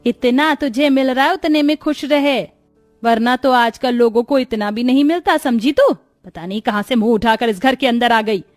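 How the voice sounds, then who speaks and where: A woman voicing a greedy, bossy middle-aged mother-in-law in a melodramatic story, scolding and sharp-tongued. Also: a little high, moderate pace, faint music behind, voice pushed up, lightly acted.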